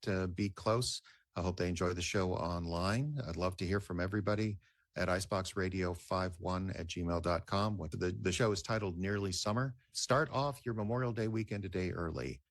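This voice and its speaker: Deep-voiced American man, dry interview sound. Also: a little fast, dry recording. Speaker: interviewee, community theater artistic director. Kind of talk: casual interview explanation.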